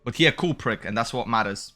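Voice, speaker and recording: A man with a bright, forward voice streams a video game with music playing behind him. He talks in a casual, slangy way, excitable and chatty as he comments on the playthrough. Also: medium pitch, fast. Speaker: gaming streamer.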